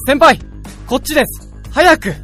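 An earnest young junior colleague acted by a man in a radio play, excitable and easily fooled. His voice is high and swings freely, with faint music underneath. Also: a little slow, full character voice. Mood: urgent. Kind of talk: radio drama acting.

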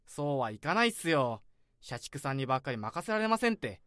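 A man's voice: mid-range voice, sing-song, fairly quick, fairly bright tone, no echo, studio quality. An actor playing a brash, complaining young male office worker in his twenties in a radio drama.

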